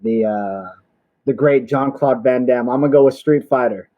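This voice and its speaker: A man's voice: mid-range voice, moderate pace, no echo. A man co-hosting a casual livestream, talking in emphatic slang and repeating phrases, over slightly dull audio. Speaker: show co-host.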